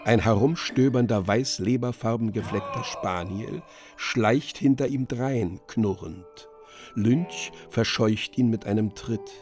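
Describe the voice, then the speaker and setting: Bedächtiger männlicher Hörspielerzähler, langsam lesend. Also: male voice, fairly low pitch, darkish tone, faint music behind. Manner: measured reading of stage directions. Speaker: narrator. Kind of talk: scripted literary narration in radio drama.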